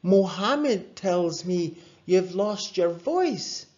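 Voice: mid-range voice, lively intonation, drawn-out words, darkish tone, highs cut off, voice pushed up. A narrator voicing an eager schoolboy, a friend in a children's story. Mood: eager, concerned. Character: Angus, eager boy friend of Rory.

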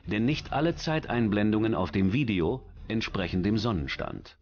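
A man's voice: on the low side, expressive, moderate pace, darkish tone, muffled recording. A man reading scripted documentary narration in as the narrator. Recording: music under the voice. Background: background music.

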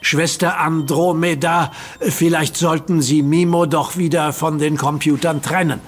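An actor playing a calm, firm hero who talks patiently and commands in a radio play. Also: male voice, a little high, a little slow, faint music behind. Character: calm heroic young man, firm leader.

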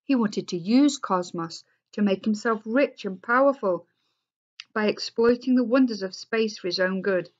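Woman reader as thoughtful male scientist, gentle and earnest, storybook. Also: mid-range voice, moderate pace, lo-fi sound, pitched above the performer's own voice, close to an everyday voice.

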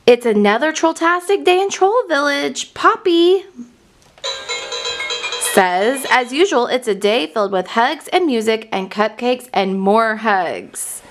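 A woman reads a children's storybook aloud in a fairly high, very animated voice. She is excited and expressive, enunciating at an unhurried pace for young listeners. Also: faint music behind.

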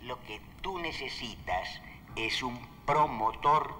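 A man's voice: medium pitch, animated. An actor in his sixties with an Italian accent playing an amused, fatherly priest in a film, mixing Italian into his speech. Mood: amused, patient. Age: 60s. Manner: unhurried, mixing Italian into Spanish. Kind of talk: naturalistic film acting. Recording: reverberant hall, faint noise.

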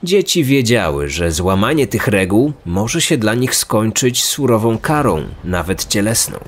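A man's voice: lowish voice, sing-song, measured pace, slight background noise. A man reading a scripted true-crime narration. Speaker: podcast narrator. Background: background music.

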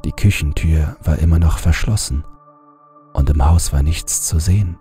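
A man reading a horror story aloud in a calm, measured first-person narration. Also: deep voice.

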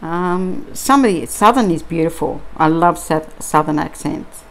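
A middle-aged Australian woman chatting casually and musing aloud, with many pauses and ums, while she crafts at a table indoors. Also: deep voice, low-quality recording. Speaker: crafting vlogger.

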